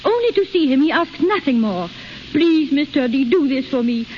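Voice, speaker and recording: A dull old radio recording holds a timid, poor young woman. Her very high voice is plaintive and earnest, in period radio-play style. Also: expressive, normal speed. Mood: pleading. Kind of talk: 1930s radio acting. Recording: muffled 1930s radio, faint static.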